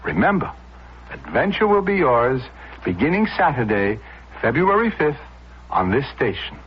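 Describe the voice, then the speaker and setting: A man's voice: medium pitch, sing-song, moderate pace, breathy edge. Swooping, dramatic male promo announcer. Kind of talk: scripted, dramatic radio promo. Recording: muffled, noisy old radio recording.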